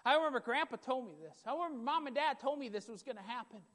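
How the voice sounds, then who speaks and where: Preacher guy, loud at times, keeps repeating himself, big echoey church. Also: very high voice, animated, fast talker. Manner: emphatic, repetitive preaching, builds in intensity. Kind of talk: sermon. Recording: long hall reverb.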